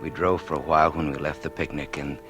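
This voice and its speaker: A low-pitched, introverted man in a vintage radio drama. He is earnest and lovestruck, stammering politely through his words, with music behind him on an old recording. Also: flat delivery, quick pace. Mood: wistful confession. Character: shy, introverted, earnest man smitten by love. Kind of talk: old-time radio drama acting with confessional narration.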